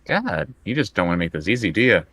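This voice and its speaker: A man playing a tabletop role-playing game, talking casually and haltingly as he works out his moves. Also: on the low side, very animated, bright, forceful tone, no room sound. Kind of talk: casual improvised game play.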